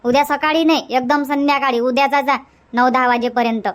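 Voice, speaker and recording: Cartoon village granny played by a woman: very high voice, Varhadi Marathi accent, hospitable, bossy, chatty and repetitive. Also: fairly even intonation, brisk, close to an everyday voice. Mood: insistent. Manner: chatty, insistent, repeats herself. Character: hospitable, bossy village grandmother in a family comedy. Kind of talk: cartoon comedy dubbing.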